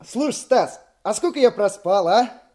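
An easygoing subordinate detective for radio drama. His voice is high for a man and leaps about in pitch. He speaks colloquially, with a jokey, playful looseness. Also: animated, unhurried, warm tone. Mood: sleepy, playful. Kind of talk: Russian radio drama acting.

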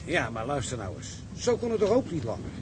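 A man's voice: medium pitch, big pitch swings, fairly quick, noisy background, natural voice. A man playing an optimistic, reasonable ship's engineer who argues earnestly and persuasively, in radio drama style. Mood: argumentative.

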